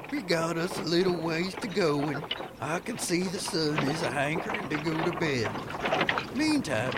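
A man's voice: a little high, very noisy. A man playing a good-natured, folksy cowhand with a Texan drawl in an old-time radio western. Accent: Southern US, Texan. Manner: drawling, folksy, talks to his horse.

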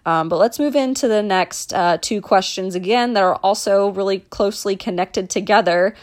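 A woman's voice: mid-range voice, normal speed. Chatty young woman podcaster. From Dallas, Texas. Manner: chatty, many ums and restarts. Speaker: podcast host, mental performance coach. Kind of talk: casual solo podcast introduction.

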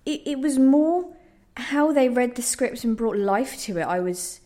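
A woman's voice: on the high side, lively intonation, unhurried, dark tone. A woman speaking casually and reflectively as an interviewed playwright, with some hesitation. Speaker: playwright and trained actor interviewed.

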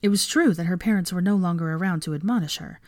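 A woman narrating a scripted story as an expressive reader of fan fiction. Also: on the low side, quick pace, no echo, studio quality.

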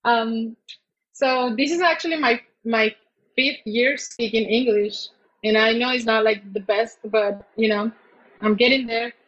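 High-voiced woman, halting. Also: fairly bright tone, muffled recording. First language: Spanish. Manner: halting, searching for words. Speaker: interview guest. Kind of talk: casual personal storytelling.

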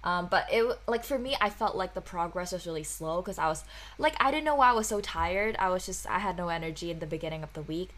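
A woman's voice: lowish voice, lively intonation, fast talker, fairly bright tone. A young woman from Hong Kong hosting a casual podcast chat, talking freely with lots of "like" fillers and easy laughter. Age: young adult. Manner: chatty, frequent "like" fillers, laughs easily. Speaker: podcast host.

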